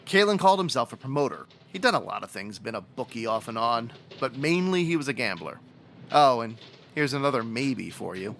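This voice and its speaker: An actor playing a matter-of-fact police sergeant in an old-time radio detective drama, with a dry, procedural, folksy way of talking. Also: male voice, medium pitch, sing-song, a little fast, gravelly, fairly bright tone, soft background music. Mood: speculating.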